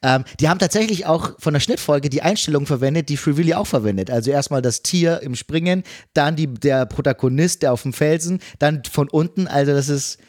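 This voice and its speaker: A man's voice: on the high side, fairly quick, hardly any pauses, darkish tone, no echo. Dry close-sounding man discussing films. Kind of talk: casual film discussion.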